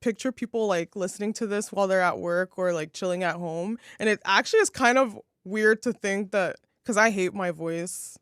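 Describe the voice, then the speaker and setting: A woman's voice: mid-range voice, moderate pace, few pauses, smooth, dry recording, clean studio sound. A young adult woman co-hosting a casual podcast, chatting with lots of filler words. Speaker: podcast co-host. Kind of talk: casual chat.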